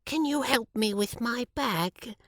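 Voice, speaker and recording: A man voicing a timid, apologetic old woman with a soft, hesitant way of talking. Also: very high voice, expressive, takes it slowly, no echo, clean studio sound, pitched above the performer's own voice, exaggerated voice.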